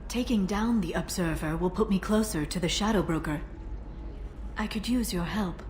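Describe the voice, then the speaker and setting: An actress playing a composed, determined female information broker in a sci-fi video game, measured and earnest. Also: lowish voice, darkish tone, close to an everyday voice. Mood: focused. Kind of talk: scripted video game dialogue. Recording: noisy ambient background.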